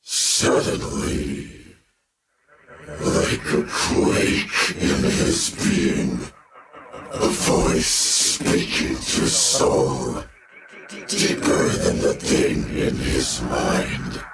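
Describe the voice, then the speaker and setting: A man playing a grave, theatrical narrator of a dark science fiction audio drama, with drawn-out, ominous delivery. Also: very deep voice, level tone, gravelly, heavy background noise, exaggerated voice. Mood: grave, foreboding. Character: ominous male narrator of a dark sci-fi tale. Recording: echoey, distant voice under loud music.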